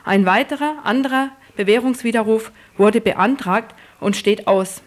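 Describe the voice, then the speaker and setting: A woman's voice: fairly high pitch, moderate pace. A woman narrating a scripted news report as a radio reporter.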